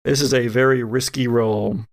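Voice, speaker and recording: A man's voice: mid-range voice, fairly even intonation, normal speed, gravelly. A man acting as game master, narrating a tabletop role-playing game dramatically with lingering, descriptive phrasing.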